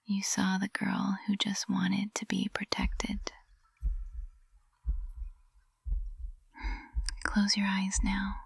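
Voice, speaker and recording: Woman acting a breathy, whispering witch teacher in love. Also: medium pitch, monotone, no room sound, exaggerated voice. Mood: soft, reassuring. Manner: slow, hushed, intimate, adoring. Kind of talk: intimate ASMR whispered roleplay. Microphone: close ASMR microphone.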